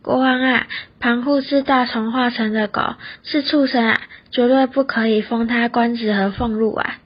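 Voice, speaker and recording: A woman's voice: fairly high pitch, steady tone, moderate pace, slightly rough. A young woman with a Taiwanese Mandarin accent playing a stern court adviser in a student radio drama, reciting formally. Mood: objecting. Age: young adult. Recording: dull audio under loud music.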